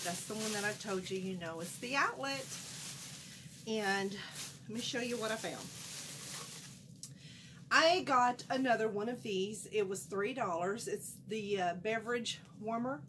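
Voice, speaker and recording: A woman's voice: mid-range voice, measured pace, slight background noise, rough recording. Chatty woman with a cold. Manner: folksy, animated, chatty, wanders off mid-sentence. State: has a cold, a little nasal. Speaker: haul vlogger. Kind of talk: casual chatty haul vlog. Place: small room at home, indoors.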